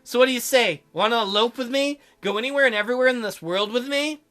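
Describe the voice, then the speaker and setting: Man playing a cheeky, bright-voiced young princess. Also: very high voice, fast. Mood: teasing.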